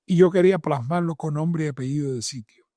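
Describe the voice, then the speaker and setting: Older Cuban man, fairly high voice. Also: a little fast, dark tone, no room sound, clean studio sound. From Matanzas province, Cuba. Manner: emphatic, repeats phrases in lists. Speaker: interviewed doctor and author. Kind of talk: spoken interview answers.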